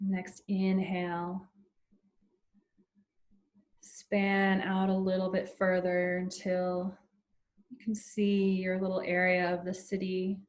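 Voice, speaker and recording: Woman doing guided meditation, real slow n soothing, flat steady pitch, lots of pauses. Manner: soothing, unhurried, with long pauses.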